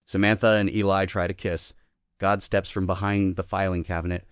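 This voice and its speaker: A man's voice: low voice, little pitch movement, normal speed, clean tone, dry recording, clean studio sound. A man narrating stage directions in a scripted table read.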